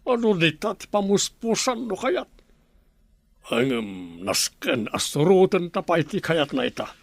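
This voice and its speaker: Casting: persuasive village dad, middle-aged, Ilocano accent, lightly high-pitched radio-play voice. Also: male voice, sing-song, measured pace, gravelly, dry recording, full character voice. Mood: coaxing. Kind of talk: Filipino radio drama acting.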